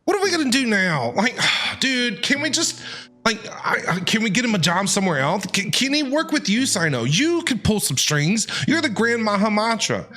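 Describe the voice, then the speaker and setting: A man reacting live to a game as a streamer, casual, expressive and exclamatory. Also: high voice, normal speed.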